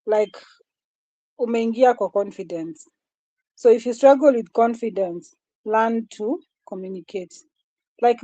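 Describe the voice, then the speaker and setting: A woman with a small voice and a fairly high pitch, speaking in an earnest, repetitive way. Her tone is mellow and soft. The sound is dry and close to the mic. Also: no room sound, highs cut off. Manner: earnest, repetitive, mixes Swahili into English. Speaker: guest speaker. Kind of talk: motivational talk in a live session.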